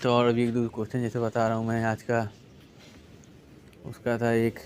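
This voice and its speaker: Guy doing casual exam coaching, pretty monotone, repeats himself explaining stuff, bit of background noise. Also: mid-range voice, normal speed. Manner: colloquial, repetitive, explanatory. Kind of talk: informal exam paper review lesson.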